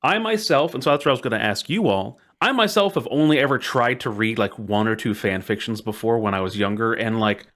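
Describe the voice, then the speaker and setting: A man's voice: medium pitch, brisk, hardly any pauses, fairly bright tone. A man hosting a casual podcast chat in a playful, jokingly ceremonious way. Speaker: podcast host.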